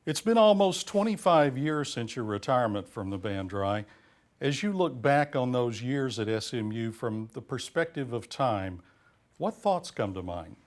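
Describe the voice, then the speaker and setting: Male interviewer, formal and polite, steady middle-pitched voice. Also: a little slow. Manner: formal, courteous questioning. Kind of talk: scripted interview questions.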